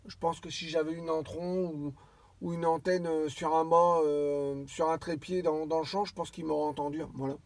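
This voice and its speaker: Rambling man with a higher-pitched voice. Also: level tone, normal speed, dry recording. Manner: rambling, hesitant, repeats himself. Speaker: amateur CB radio operator. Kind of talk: casual radio chat.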